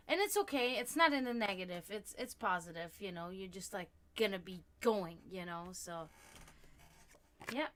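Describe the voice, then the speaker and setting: A woman born and raised in Germany, with German as her first language, chatting warmly as she reads tarot cards for viewers on a live stream. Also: mid-range voice. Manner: chatty, animated, laughs often, talks to chat.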